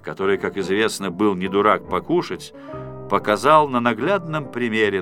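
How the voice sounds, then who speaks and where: Animated male storyteller over music. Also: mid-range voice. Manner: theatrical, storytelling delivery with dramatic emphasis. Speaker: audiobook narrator. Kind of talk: expressive scripted narration of a children's story.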